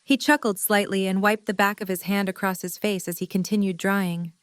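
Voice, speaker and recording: A woman's voice: mid-range voice, fairly even intonation, brisk, no echo. Dry-recorded woman narrator. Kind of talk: scripted narration.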